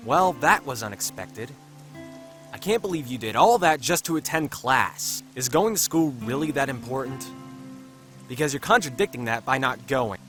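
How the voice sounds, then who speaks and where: A medium-pitched man playing a high school boy in an anime fan dub. He is blunt yet earnest and caring. There is music faintly behind him. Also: animated, moderate pace, a bit bright, natural voice. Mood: earnest, frank.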